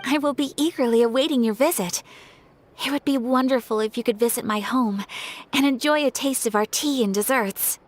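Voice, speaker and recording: A woman's voice: on the high side, normal speed, faint music behind, natural voice. An actress playing a refined, gracious young woman from abroad in a video game, poised and formally courteous.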